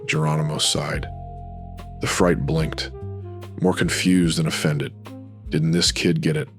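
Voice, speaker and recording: A man narrating a horror anthology in an ominous, measured pulp radio-drama style, in short dramatic phrases. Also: a bass voice, steady tone. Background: music.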